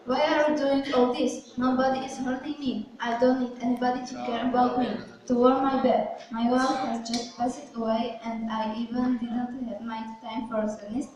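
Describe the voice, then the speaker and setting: A woman's voice: on the high side, level tone, normal speed, few pauses, darkish tone, close to an everyday voice. A woman playing a stubborn, weary widowed male ruler in an amateur puppet show, protesting in exasperation. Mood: exasperated. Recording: very echoey room, far from mic.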